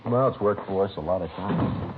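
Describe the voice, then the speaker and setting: A man playing a methodical American police sergeant with a very low voice, matter-of-fact and understated. He speaks in the terse procedural manner of an old radio crime drama. The sound is thin and band-limited, with clear vintage noise. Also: normal speed, narrow, tinny audio, natural voice. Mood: businesslike.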